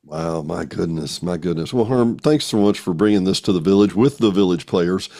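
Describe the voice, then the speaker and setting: A man's voice: low-pitched, moderate pace, vocal fry, dark tone. A man hosting a conversational podcast interview, responding to his guest. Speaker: podcast host.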